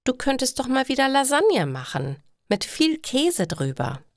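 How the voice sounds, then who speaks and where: A woman's voice: sits high, sing-song, unhurried, no room sound, studio quality, voice pushed up, exaggerated voice. A woman voicing a chatty woman who frets over her household chores in a story. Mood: friendly, chatty.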